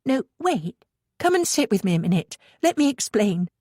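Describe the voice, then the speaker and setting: A woman's voice: a little high, big pitch swings, moderate pace, darkish tone, breathy edge, no echo, clean studio sound, voice pushed up. A woman reading a protective, earnest mother in a storybook, with a British English accent. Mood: pleading.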